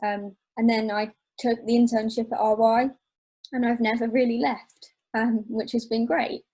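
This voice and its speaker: A young woman speaks in a relaxed, slightly hesitant way. Her tone is mellow and dark, and her pitch barely moves. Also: normal speed. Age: young adult. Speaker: panelist.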